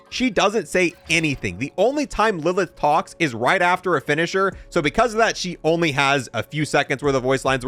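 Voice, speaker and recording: A man's voice: a little high, fairly bright tone. A young man giving casual, informal gameplay showcase commentary as a video host, in a matter-of-fact way.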